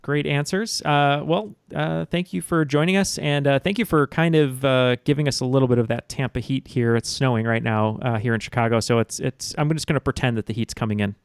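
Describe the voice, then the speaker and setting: A man's voice: medium pitch, brisk, creaky, hardly any pauses, smooth, no echo, studio quality. A man hosting a casual trivia podcast, chatty and full of "uh" fillers. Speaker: podcast host. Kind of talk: casual quiz show hosting. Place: home, Chicago.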